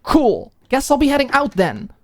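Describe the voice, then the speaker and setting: A woman's voice: sits high, huge pitch swings, normal speed, voice pushed up, heavily acted. A woman voicing a sarcastic, petulant young female roommate who swears freely, in an audiobook drama. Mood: frustrated. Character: Alicia, bratty, sarcastic, foul-mouthed young woman roommate.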